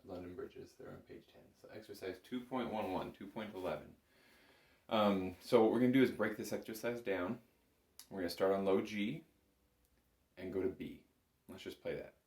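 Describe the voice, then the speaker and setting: A man speaks in a low register with noticeable vocal fry, sounding like a music teacher. He explains each step patiently and carefully, as in a practical lesson. The room adds a clear echo around his voice. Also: normal speed, darkish tone. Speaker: music instructor. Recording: roomy, echoing room sound.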